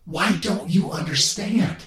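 A man's voice: sits high, slow, a bit bright, soft background music, voice pushed up. A man voicing an arrogant, bossy swarm of alien parasites with a male voice, heard through an echoey layered filter. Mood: insistent. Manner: arrogant, bossy, hissing urgency.

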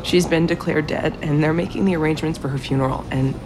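A woman diver weighed down by guilt over an old friend. Her voice has a creaky grain and she confides haltingly, in a natural audio drama style. A heavy vehicle rumble sits behind her. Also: steady tone. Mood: grieving, strained.

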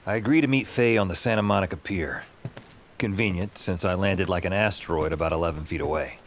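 An actor playing a dazed, weary hero in an audio drama, halting and wry as he narrates his ordeal. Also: low-pitched, slight background noise. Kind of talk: noir-style audio drama narration and dialogue.

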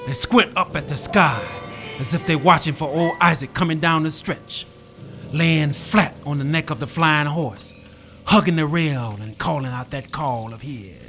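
A man narrating a story in a measured, solemn, evocative way. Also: a little high. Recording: narration over clear music. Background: music and rain.

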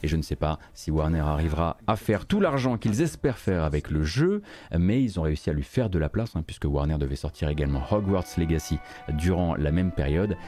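Male host, low-pitched, emphatic opinion-led live news recap, light music bed. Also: lively intonation, fairly quick, mellow. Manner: emphatic, repeats words for stress. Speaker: host of a video game news stream.

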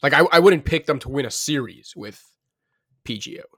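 A man hosting a sports podcast, talking quickly and casually, thinking aloud with plenty of fillers. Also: fairly high pitch, lively intonation, bright, forceful tone, no echo, studio quality. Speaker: podcast host.

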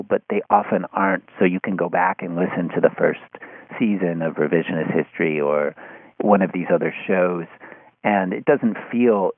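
Low, creaky man on a phone-like line. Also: no room sound. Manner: hesitant, halting, with repeated false starts. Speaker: podcast guest, media critic. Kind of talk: thoughtful interview conversation. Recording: phone-like, thin band-limited line.

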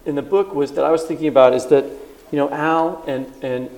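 A man co-hosts a podcast taped live on stage, moving from a scripted introduction into loose, searching questions. His voice sits fairly high with a gravelly edge, and the sound carries a long, roomy hall reverb. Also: normal speed, a little mellow. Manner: reads a scripted introduction, then asks loose conversational questions. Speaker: podcast co-host. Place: stage at a live book festival event.

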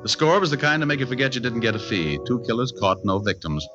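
An actor playing a wisecracking, flirtatious private detective in a wry 1940s radio style. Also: male voice, mid-range voice, sing-song, fast talker, natural voice. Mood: sardonic. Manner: wry, sardonic. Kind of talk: 1940s radio detective drama, first-person narration. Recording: dull old radio recording, music behind.

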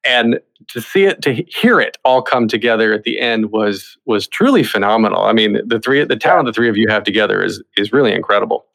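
A man's voice: lowish voice, lively intonation, brisk, vocal fry, a bit bright. A man chatting casually in an interview about creative work, in a conversational way. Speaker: musical theatre creative.

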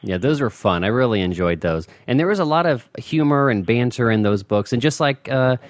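A man hosting a podcast, asking questions in a relaxed, attentive way. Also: mid-range voice, fairly quick, no echo, studio quality. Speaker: podcast host. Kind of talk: casual interview.

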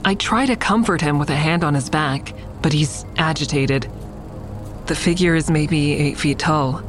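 An actress playing a reflective, tender woman who narrates an audio drama in measured, descriptive first person. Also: low-pitched, music in the background. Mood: uneasy, suspenseful. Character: reflective woman admiring a friend's mural, tender. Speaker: narrator and lead character.

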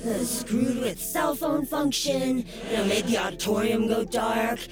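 A woman's voice: on the high side, measured pace, vocal fry, over loud music. An actress playing a pushy, restless, power-hungry female alter ego in an audio drama, insistent and needling. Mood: coaxing, eager. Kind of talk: immersive audio drama acting.

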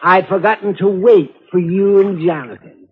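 A man's voice: sits high, fairly even intonation, moderate pace, a little hiss or hum, natural voice. An actor playing a genial, scatterbrained, wealthy old eccentric in a 1950s radio drama, absent-minded and chuckling as he talks. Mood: dithering, amused. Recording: muffled phone-like old radio recording.

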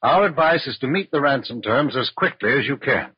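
An actor playing a brisk, businesslike federal agent in charge in a 1940s radio crime drama. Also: male voice, medium pitch, animated, breathy edge, dry recording, soft background music. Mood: firm. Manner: businesslike, firm. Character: brisk, authoritative male FBI agent in charge. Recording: dull, dry old radio recording.

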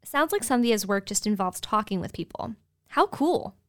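A woman's voice: mid-range voice, very animated, moderate pace. A woman co-hosting a podcast, asking the guest's questions in an upbeat, scripted way. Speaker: podcast co-host.

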